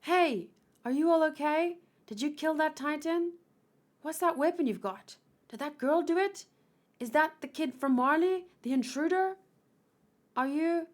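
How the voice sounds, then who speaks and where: A woman's voice: high-pitched, a little slow, pitched above the performer's own voice. A woman voicing a suspicious soldier from a manga, firing clipped, demanding questions.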